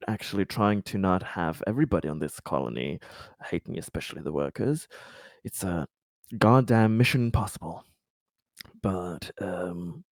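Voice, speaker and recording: A man's voice: lowish voice, a little mellow, dry recording, studio quality. A man playing a stressed, paranoid corporate director in a tabletop roleplay, stammering and swearing. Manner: halting, stammering, sweary, weary sighs. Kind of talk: improvised tabletop roleplay dialogue.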